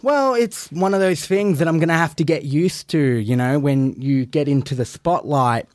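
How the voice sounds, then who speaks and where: A man's voice: fairly high pitch, moderate pace, a little mellow, no echo. A man, a wrestler guest on a podcast, trading cocky, brash trash talk. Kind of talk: boastful in-character banter.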